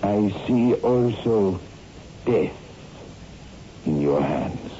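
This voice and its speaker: An actor playing an ominous, portentous occult palm reader pronouncing doom in a 1960s radio horror drama. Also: male voice, on the low side, animated, dark tone. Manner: slow, portentous. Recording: muffled, noisy old radio recording.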